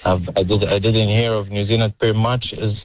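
A young man from Saudi Arabia, calling in to a radio show, talking earnestly and haltingly. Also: fairly low pitch, flat delivery. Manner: earnest, halting, restarts phrases. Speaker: caller. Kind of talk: casual phone-in chat. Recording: muffled phone-in line.

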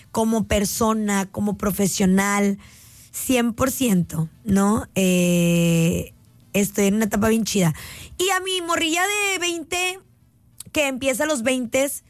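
A woman's voice: fairly high pitch, no echo. A Mexican woman in her late twenties, a radio host, talking heartfelt and casual to her listeners and stretching key words out. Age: late 20s. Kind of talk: reflective casual monologue to listeners. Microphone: phone app mic.

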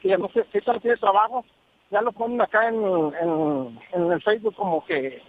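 A man's voice: sits high, normal speed. A man calling in to a radio show, asking rambling, hesitant questions. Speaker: caller. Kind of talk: casual radio call-in chat. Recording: tinny phone line.

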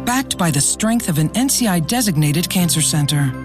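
Female ad announcer, lower pitch, polished read, music underneath. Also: lively intonation, moderate pace. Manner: polished, confident. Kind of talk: scripted commercial read. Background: music bed.

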